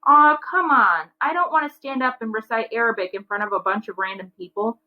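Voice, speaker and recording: A woman's voice: on the high side, fairly quick, lo-fi sound, natural voice. A woman voicing a defiant, reluctant adolescent boy in a children's storybook, arguing and whining. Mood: whining protest.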